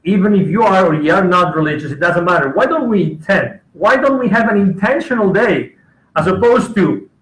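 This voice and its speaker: A man's voice: high voice, animated, a little fast, fairly bright tone. Enthusiastic male coach, emphatic and repetitive, echoey room. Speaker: guest coach. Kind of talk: casual conversation.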